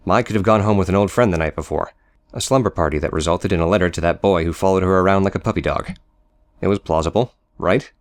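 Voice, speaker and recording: A man reading scripted audio book narration of a story. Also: deep voice. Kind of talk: scripted narration with character dialogue.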